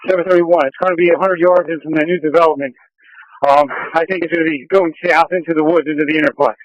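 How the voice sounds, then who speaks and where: A man's voice: on the high side, fairly even intonation, fast talker, dry recording. A male police officer reporting from the field over police radio in an urgent, clipped manner. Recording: dull lo-fi police scanner radio.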